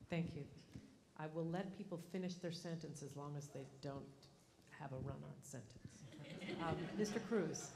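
A middle-aged woman moderating a candidate forum, brisk and businesslike. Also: low-pitched, gravelly, a little mellow. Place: library meeting room. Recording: roomy hall with faint noise.

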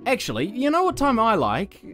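A man voicing a chirpy young cartoon cup who works at a casino in a comic dub, boyish and excitable. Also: extremely high pitch, moderate pace, faint music behind, heavily acted. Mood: perky, grumbling.

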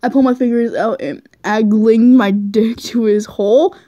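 A woman's voice: fairly high pitch, fairly even intonation, moderate pace, warm tone, no echo. A woman reading fan fiction aloud casually as an amateur, giggly and stumbling over the text. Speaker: fan fiction reader, channel host.

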